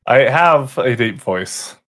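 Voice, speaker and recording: A man's voice: mid-range voice, animated, slow pace, fairly bright tone. A man running a tabletop game as game master, casually recapping the last session with frequent self-corrections, over a voice call.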